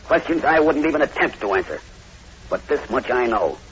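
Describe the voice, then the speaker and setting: An actor playing a middle-aged plantation owner, tense, clipped and authoritative, in old radio horror drama style. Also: male voice, medium pitch, big pitch swings, normal speed, a bit bright. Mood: grave. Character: tense, commanding American plantation owner, grimly believing in zombies. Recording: dull lo-fi old radio recording, hiss.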